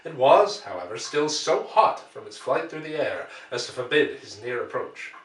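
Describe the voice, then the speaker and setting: A man reads literary prose aloud as an audiobook narrator. His delivery is measured and given to storytelling, at a middling male pitch. The voice sits at a distance from the microphone, with clear room echo around it. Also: very animated. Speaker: audiobook reader. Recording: echoey room, distant from the mic.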